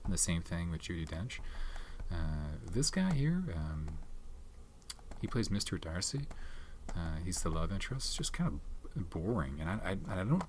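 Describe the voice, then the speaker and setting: Soft-spoken man, very low voice, rambling with fillers, close mic, noisy background with tapping clicks. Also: very animated, moderate pace. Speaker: ASMR channel host reviewing his film collection. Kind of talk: soft-spoken ASMR chat.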